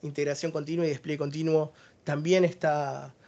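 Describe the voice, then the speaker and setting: A man's voice: fairly high pitch, flat delivery, moderate pace, a little mellow, lo-fi sound. A man with an Argentine accent giving a technical webinar presentation, explaining each service step by step.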